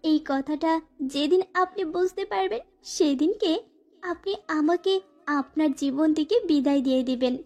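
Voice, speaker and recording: A woman's voice: high-pitched, normal speed, mellow. A woman playing a frightened, pleading young student bride in a dramatized first-person romantic audio story. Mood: sorrowful, resigned. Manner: plaintive, pleading.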